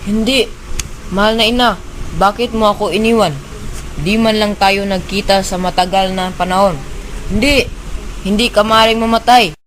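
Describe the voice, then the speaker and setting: A man's voice: high-pitched, steady tone, moderate pace, pauses often, fairly bright tone, a little hiss or hum, rough recording. A young man with a Filipino accent playing an earnest, polite young nobleman in an amateur radio drama. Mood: grieving.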